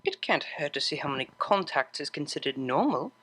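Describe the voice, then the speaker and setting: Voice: medium pitch, sing-song, fairly quick, sharp tone, breathy edge, exaggerated voice. A performer voicing a refined young female pony cellist from a cartoon fan story, prim, exasperated and teasing. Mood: sly curiosity.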